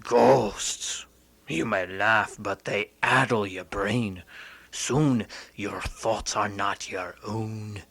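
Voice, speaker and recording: An actor playing a gruff, superstitious little village milkman in a radio drama, ominous and reluctant. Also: fairly low pitch, drawn-out words, fairly bright tone, exaggerated voice.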